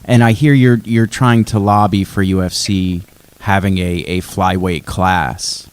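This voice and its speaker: A man's voice: low-pitched, fairly even intonation, unhurried, clear, resonant tone. A man asking questions as a podcast co-host in a casual, conversational way.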